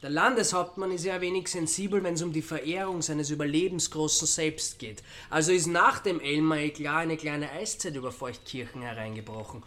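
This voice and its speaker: An actor playing a wry Austrian amateur sleuth in a radio crime drama, needling and reasoning aloud with sardonic calm. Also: male voice, a little high.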